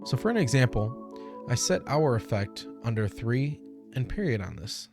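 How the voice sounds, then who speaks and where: A young man hosting a casual software tutorial, speaking informally into a close desk microphone. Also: mid-range voice, big pitch swings, normal speed, vocal fry. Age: young adult. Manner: informal, conversational. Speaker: tutorial host. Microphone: close desk microphone on boom arm. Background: music.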